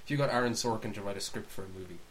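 A man's voice: lowish voice, racing, fairly bright tone. A man co-hosting a podcast in casual chat, informal and opinionated, rambling as he gives his views and recommendations. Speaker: podcast host. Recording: faint background hiss.

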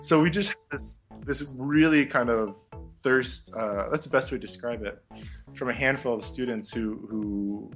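A man's voice: medium pitch, normal speed, soft background music. Reflective young man with frequent fillers. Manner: reflective, many fillers and restarts, laughs lightly. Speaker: interview guest, former music teacher. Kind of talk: casual conversational interview.

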